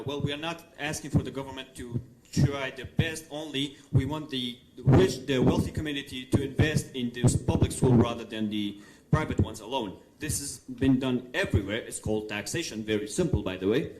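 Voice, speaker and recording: A man's voice: medium pitch, moderate pace. A young man giving a rebuttal as a student debater. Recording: clearly noisy with clicks.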